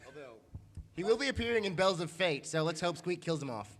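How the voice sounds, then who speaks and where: A man's voice: high-pitched, sing-song, a little fast, vocal fry, fairly bright tone. A man telling casual anecdotes as a panelist at a fan convention. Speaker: convention panelist and audio editor. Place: convention meeting room.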